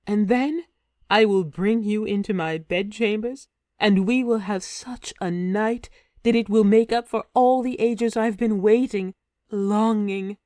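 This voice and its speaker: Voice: medium pitch, dark tone, dry recording, clean studio sound, natural voice. A reader voicing an ardent ancient warrior queen. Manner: pleading, ardent. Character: passionate immortal warrior queen, woman. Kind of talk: storybook reading.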